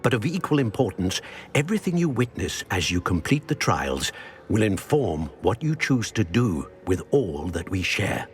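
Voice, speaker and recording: An older actor playing a solemn ancient wizard in a video game, speaking in a formal, measured, grave manner. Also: male voice, fairly low pitch, big pitch swings. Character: solemn ancient wizard, keeper of secret magical knowledge. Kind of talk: video game cutscene acting. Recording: music behind.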